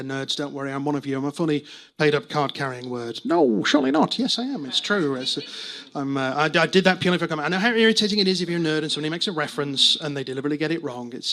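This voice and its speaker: A man's voice: a little high, fast, hardly any pauses, pushed and bright. A man from Manchester with a faint northern accent doing a rambling, self-interrupting stand-up set in a comedy club. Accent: faint Mancunian. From Manchester, England. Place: comedy club with live audience.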